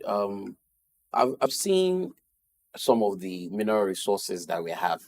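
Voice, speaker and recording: A male co-host questions his guest in a relaxed, conversational way. A faint hiss sits under his voice. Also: lowish voice, slow pace. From Nigeria.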